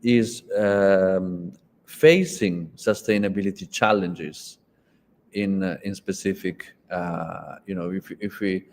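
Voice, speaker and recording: An Italian-speaking man interviewing a guest in English, halting and restarting often, with many fillers. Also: low voice, darkish tone. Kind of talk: conversational interview.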